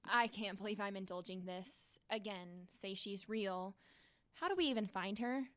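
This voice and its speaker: A woman's voice: medium pitch, normal speed, fairly bright tone, dry recording, close to an everyday voice. A young woman playing a skeptical, protective teenage girl in an audio drama, blunt and wary.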